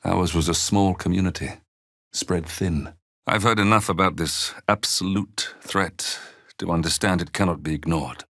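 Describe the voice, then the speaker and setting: An older man voicing a weary, gentle, devout old father in a video game, with halting, tender and solemn delivery. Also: low voice. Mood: weary, reflective. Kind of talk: dramatic video game character dialogue.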